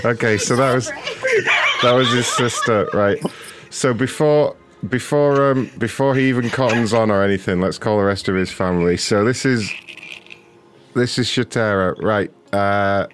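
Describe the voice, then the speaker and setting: A man's voice: lowish voice, moderate pace, slight background noise. A man hosting a prank call show, laughing and directing the next call in casual chat. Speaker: host.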